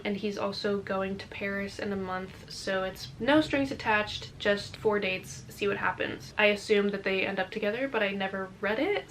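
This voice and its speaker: Young american woman just chatting about stuff to camera, medium pitch, noticeable background noise. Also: poor audio. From United States. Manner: informal, conversational, self-correcting. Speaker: book vlogger. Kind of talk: casual chat to viewers.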